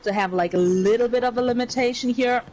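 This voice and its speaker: A woman's voice: on the high side, fast, a little mellow. Woman, casual chat, hesitant rambling explanations, slight background noise. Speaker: player presenting own game build.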